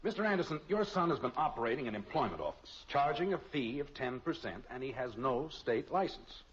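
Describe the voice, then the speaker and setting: A man's voice: mid-range voice, big pitch swings, brisk, lightly acted. A man playing a courteous, apologetic, by-the-book police officer in 1950s radio comedy style, formal and placating. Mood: official. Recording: muffled old radio recording, faint hiss.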